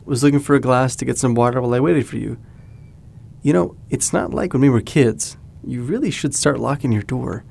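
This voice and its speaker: A man's voice: medium pitch, a little fast, gravelly, a little mellow, breathy edge. An actor playing an easygoing, teasing, protective young man in a naturalistic audio drama. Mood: casual. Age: young adult.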